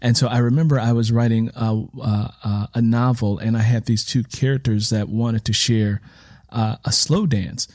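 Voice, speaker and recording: A man talking casually as an author in an interview, telling stories in an animated way and repeating his phrases as he goes. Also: mid-range voice, normal speed, no room sound, clean studio sound. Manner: animated storytelling, repeats phrases and acts out quotes. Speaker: author in conversation about writing.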